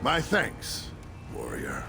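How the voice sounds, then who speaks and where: An actor playing a proud, battle-hardened Viking warlord in a video game, commanding and deliberate, with a gravelly voice. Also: male voice, on the low side, huge pitch swings, full character voice. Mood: hearty. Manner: solemn, declamatory, deliberate. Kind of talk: dramatic video game cutscene acting and narration. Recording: loud music under the voice.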